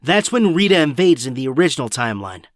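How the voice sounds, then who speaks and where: A man's voice: on the high side, big pitch swings, fast, dry recording, studio quality. A young man playing an anxious, earnest teenage boy hero in an audio drama, urgent and a little breathless. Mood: determined.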